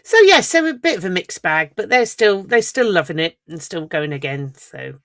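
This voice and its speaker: A woman's voice: lowish voice, very animated, normal speed, a bit bright, no echo, clean studio sound. A woman co-hosting a casual sports podcast, chatting in a rambling way. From England. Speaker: podcast co-host. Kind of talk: casual chat.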